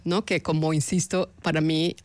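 A woman with a Colombian accent speaks as an interview guest. She talks in a reflective, argumentative way and leans on rhetorical questions. Her voice sits low for a woman, and the recording is close and dry, with no room sound. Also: little pitch movement, moderate pace, no echo. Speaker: guest, actress. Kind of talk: radio-style interview conversation.

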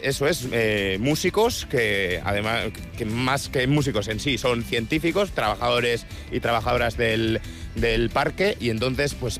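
A man with a Spanish accent from Spain reporting live in a casual, halting way, often repeating himself. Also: mid-range voice, a bit bright. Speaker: radio reporter on location. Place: outdoors at a festival site before it starts.

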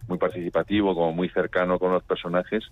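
A man's voice: low-pitched, little pitch movement, fast, no room sound. A man from Pamplona with a northern Spanish accent, chatting casually and anecdotally as an interviewed filmmaker over a phone-like radio link. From Pamplona, Spain. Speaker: documentary filmmaker being interviewed.

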